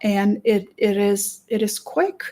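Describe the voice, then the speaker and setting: A woman from Romania with a Romanian accent, a therapist giving an earnest promotional talk, with many restarts and stretched pauses. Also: mid-range voice, dark tone. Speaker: therapist and conference presenter.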